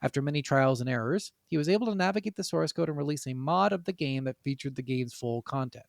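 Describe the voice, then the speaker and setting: A man with a mid-pitched voice full of fry co-hosts a gaming podcast. He speaks informally, peppering his chat with swear words. The sound is dry, with no room around it. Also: lively intonation, fast talker, dry recording. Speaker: podcast co-host.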